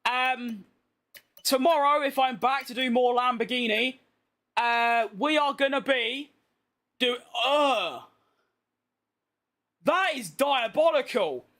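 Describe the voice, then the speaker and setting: Tired, chatty British man, very high voice. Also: takes it slowly, pauses often, bright, forceful tone. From Britain. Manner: chatty, rambling, self-deprecating. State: tired from being awake all day. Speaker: gaming streamer. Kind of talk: casual live-stream gameplay chat.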